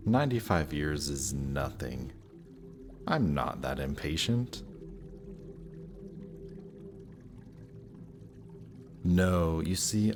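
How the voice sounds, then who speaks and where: A man's voice: rumbling low, background noise. A man playing a death god's smug, lovestruck young son in a romantic audio roleplay, talking casually and teasingly to the listener.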